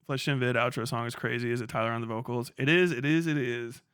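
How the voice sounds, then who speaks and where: A man's voice: mid-range voice, fairly even intonation, quick pace, fairly bright tone, smooth, no room sound, clean studio sound. Profane, rambling male streamer. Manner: rambling, profane, laughs often. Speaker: livestreamer reading chat. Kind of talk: casual livestream chat.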